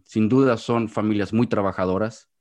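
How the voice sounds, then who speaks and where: A man's voice: lowish voice, fairly even intonation, moderate pace, a little mellow. A man with a Jalisco Mexican accent, a podcast guest in a casual interview who talks at length and often restarts his words, recorded over a video call. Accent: Mexican Spanish, Jalisco. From Guadalajara, Mexico.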